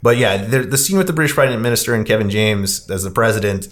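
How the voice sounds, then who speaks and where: A man's voice: fairly low pitch, animated, fast. Conversational man discussing movies. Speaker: podcast host. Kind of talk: casual film discussion.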